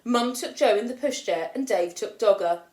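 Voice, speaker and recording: A woman teacher reading a children's picture book aloud with expressive storytelling, lifting her voice for the children's lines. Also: on the high side, normal speed. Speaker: primary school teacher. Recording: roomy echo.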